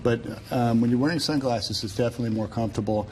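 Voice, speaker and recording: A man's voice: mid-range voice, fast, a little mellow, slight background noise, rough recording. A man speaking as an eye doctor on a TV talk panel, casual and full of fillers. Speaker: eye surgeon guest. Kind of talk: panel discussion. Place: TV studio.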